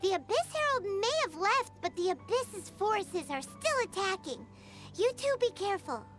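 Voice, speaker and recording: Squeaky, extremely high-pitched fairy companion. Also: female voice, sing-song, moderate pace, fairly bright tone, exaggerated voice. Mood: earnest, concerned. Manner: squeaky, perky, chatty. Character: small, chirpy, inquisitive childlike fairy companion. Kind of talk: video game voice acting. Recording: music behind the voice.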